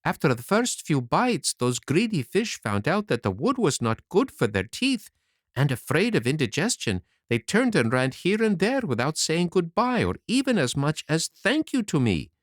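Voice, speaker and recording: A man puts on a mischievous wooden marionette boy, cheeky and quick with a story. His voice leaps up and down in sing-song swings, recorded dry and close like a storybook audiobook. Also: a little high, very animated, no room sound. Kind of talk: audiobook character reading.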